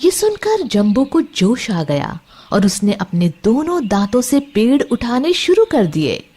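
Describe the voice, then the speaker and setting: A woman's voice: on the high side, very animated, a little slow, mellow, breathy edge. A woman narrating a scripted children's bedtime story, expressively addressing young listeners. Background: music.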